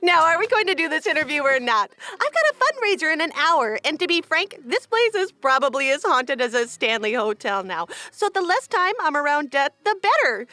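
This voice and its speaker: An artificial, theatrical small-town mayor in a comedy audio drama. Her voice is high, bright and very animated. She is syrupy yet pushy and condescending. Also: brisk, hardly any pauses, heavily acted. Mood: pushy, brisk.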